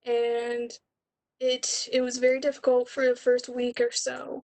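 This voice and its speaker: A woman's voice: fairly high pitch, completely flat delivery, measured pace, a little mellow. A young woman, a student director, answering questions informally about her own project. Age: young adult.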